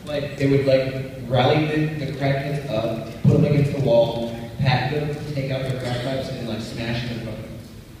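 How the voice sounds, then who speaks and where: A man's voice: mid-range voice, steady tone, normal speed, a little mellow. Man rambling at a noisy, echoey panel. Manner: rambling, loose storytelling with fillers. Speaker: actor at a press panel. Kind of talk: casual panel answers. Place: convention press room. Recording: echoey hall, far from mic, noisy.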